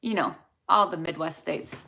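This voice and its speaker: A young woman from the Chicago area speaks with a Chicago accent and a bright, lively tone. She talks casually and rambles a little as she reads out viewers' questions and answers them one by one in a live stream. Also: low-pitched, moderate pace, creaky. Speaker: dental hygienist, host. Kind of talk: livestream Q&A, answering chat.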